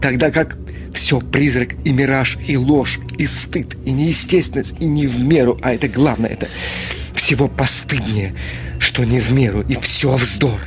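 An actor playing a proud, irritable, tormented middle-aged gentleman in a radio play, agitated and accusatory, with emphatic repetitions. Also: mid-range voice, normal speed, lightly acted. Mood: anguished, irritated. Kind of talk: Russian radio play acting.

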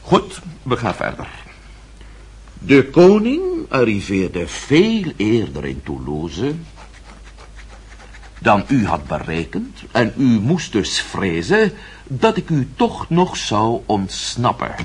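A man's voice: lowish voice, sing-song, slow, breathy edge, exaggerated voice. An actor playing a mocking, self-assured nobleman in a period radio drama, speaking with ornate, icy politeness. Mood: sardonic, triumphant.

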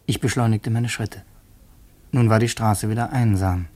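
An actor playing a young revolutionary student who narrates in the first person in a radio play, earnest and tense. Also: male voice, fairly low pitch, steady tone, moderate pace, darkish tone, no echo, close to an everyday voice.

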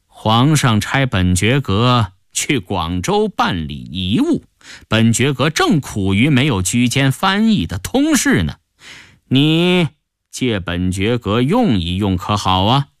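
A haughty high official of the imperial Chinese court, played by a male storyteller, suave and teasing. He takes his time over each phrase with lordly deliberation, the pitch swinging widely, in a very dry recording. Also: wildly swooping pitch, no room sound. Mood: smugly interested. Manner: slow, deliberate, lordly drawl.

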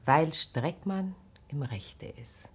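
An actress playing a grave, perceptive, caring wife in a radio drama, speaking earnestly and probingly. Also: female voice, sits low, animated, slow pace, breathy edge, full character voice. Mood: grave, hushed. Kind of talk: naturalistic German radio drama acting.

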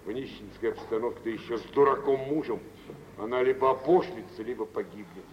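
A man's voice: medium pitch, natural voice. An actor playing a worldly, self-assured rich older businessman, speaking sententiously in mid-century radio theatre style. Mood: pensive admiration. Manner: deliberate, sententious. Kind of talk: 1940s-50s radio theatre acting. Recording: old radio recording, muffled, reverberant, hissy.

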